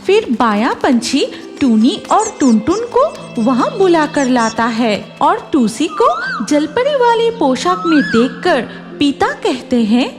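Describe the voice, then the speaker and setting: A woman's voice: sits high, very animated, takes it slowly. A woman narrating a scripted cartoon story for children, with music behind her voice.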